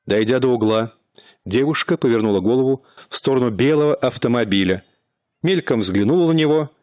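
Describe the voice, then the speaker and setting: A man narrating a radio play in a measured, even storytelling manner. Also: fairly low pitch, no echo, clean studio sound.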